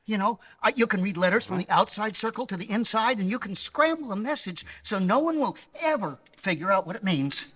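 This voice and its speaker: A man acts a boyish young helper in live radio adventure style. His voice is high, bright and breathy, eager and a bit halting. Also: animated. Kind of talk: live old-time radio juvenile acting.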